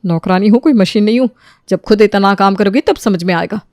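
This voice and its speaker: A woman's voice: mid-range voice, brisk, a little mellow, dry recording, clean studio sound, lightly acted. A woman playing a put-upon, dutiful housemaid who pleads and stands up for herself in a dramatised moral story. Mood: fed up.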